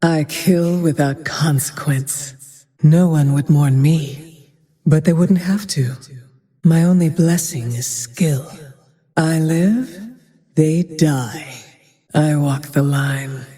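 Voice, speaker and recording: A cold, haunted huntress from a fantasy video game, speaks in a dark, mellow, breathy tone. Her delivery is slow and deliberate, deadpan with quiet menace. A long reverb trails her vengeful lines. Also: sits low, heavily acted. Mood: cold, menacing.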